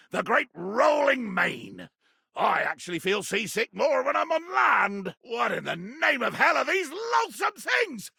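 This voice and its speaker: A man playing a pompous, belligerent sea captain in a video game, with a raspy voice and a theatrical, grandiloquent delivery. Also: high voice, pushed and bright, dry recording, clean studio sound, exaggerated voice. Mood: queasy, disgusted. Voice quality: gravelly, raspy.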